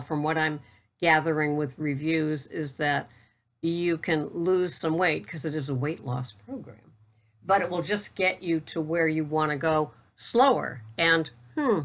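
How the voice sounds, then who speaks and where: This is a woman in her sixties with a notably low voice, chatting to her viewers in an unhurried, even way. She calls her own delivery a monotone, but she keeps it plain and matter-of-fact, with the odd wry aside. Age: 60s. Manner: steady, matter-of-fact, self-described monotone. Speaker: keto vlogger and coach. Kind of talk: casual talk to camera.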